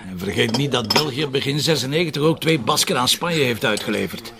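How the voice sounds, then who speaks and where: A man's voice: medium pitch, expressive, a little fast, creaky, crowd noise. An actor with a Flemish accent playing a grumbling, sceptical police chief and harried father in an audio drama. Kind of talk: naturalistic radio drama dialogue.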